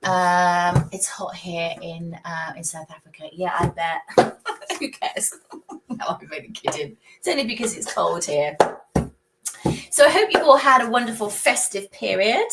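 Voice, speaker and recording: A woman's voice: on the low side, a little slow. A woman hosting a live psychic stream, chatting casually, joking and laughing easily with her viewers. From United Kingdom. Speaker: psychic host. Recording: loud background noise.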